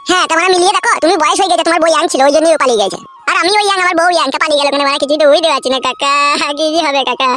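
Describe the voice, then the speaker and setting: A man voicing a whiny, hot-headed young village husband in a comic cartoon, complaining crudely and excitably. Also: very high voice, racing, hardly any pauses, faint music behind. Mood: whiny, indignant.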